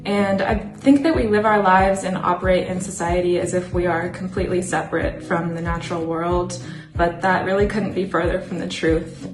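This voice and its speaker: Low-voiced young woman speaking earnestly. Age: young adult. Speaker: climate change communicator. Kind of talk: earnest panel talk. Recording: roomy echo with music behind.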